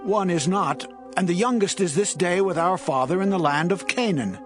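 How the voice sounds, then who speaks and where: An actor playing an earnest grown son in a dramatized Bible story, speaking urgently in a declamatory style. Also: male voice, high voice, expressive, moderate pace, soft background music. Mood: earnest explaining. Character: earnest adult son pledging to his father. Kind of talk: dramatized Bible audio acting.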